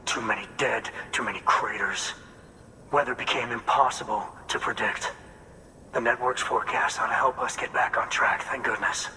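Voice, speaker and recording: In a long reverb with faint noise, a game's farm leader with a very bright, forceful voice. He sounds earnest, folksy and full of hope. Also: mid-range voice, fairly even intonation, normal speed. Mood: grateful, hopeful. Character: hardworking male farm leader, grateful, earnest, hopeful. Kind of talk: video game acting.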